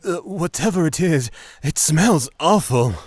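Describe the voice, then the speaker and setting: A man's voice: on the high side, expressive, a little slow, husky, no echo. A man playing an earnest, dutiful knight scout in a fantasy audio drama. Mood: disgusted.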